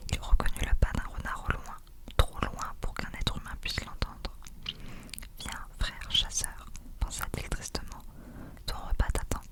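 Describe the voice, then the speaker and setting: A woman reading a novel aloud as whispered ASMR, in a breathy, unvoiced, soft and unhurried narration.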